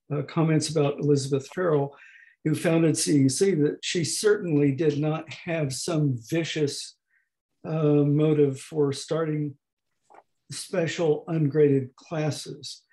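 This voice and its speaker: A man in his eighties lecturing slowly over a video call, with a hoarse, gravelly voice that is not fully distinct and that often pauses and restarts. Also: a little high, dark tone, poor audio. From United States. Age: 80s. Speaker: professor emeritus giving a talk. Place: home room at a desk. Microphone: webcam on a video call.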